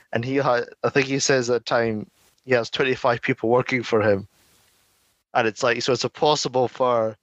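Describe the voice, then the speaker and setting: A man's voice: mid-range voice, a bit bright, dry recording, muffled recording. A man co-hosting a podcast, chatting casually and explaining things at length with frequent laughs. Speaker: podcast co-host. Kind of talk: casual chat.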